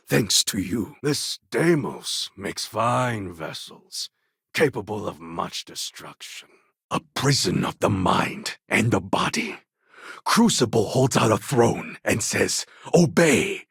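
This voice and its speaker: A man playing a proud, fierce warlord in a video game, speaking in a grandiose, commanding way with a husky, gravelly voice. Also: lowish voice, a bit bright, no echo, studio quality, exaggerated voice. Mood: solemn, resolute. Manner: grandiose, commanding, formal phrasing. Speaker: video game character voice actor. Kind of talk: dramatic video game character dialogue.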